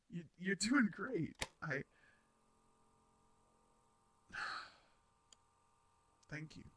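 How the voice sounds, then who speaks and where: Shy robot roommate, male actor: very bright, slow-paced voice, stammering and kindly, for intimate roleplay audio. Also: high-pitched, full character voice. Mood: tender, grateful. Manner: stammering, hesitant, nervous laughs, sighs. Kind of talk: intimate roleplay audio drama addressed to the listener.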